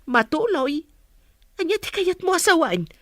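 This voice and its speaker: A woman's voice: very high voice, big pitch swings, measured pace, a bit bright, no room sound, full character voice. A woman playing a nosy, meddling mother. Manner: nosy, insistent prying. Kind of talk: audio drama acting.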